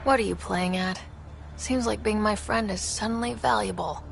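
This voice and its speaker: An actress playing a resolute young explorer and leader in a space game, direct and firm. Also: female voice, medium pitch, normal speed, creaky, a little hiss or hum. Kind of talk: video game cutscene acting.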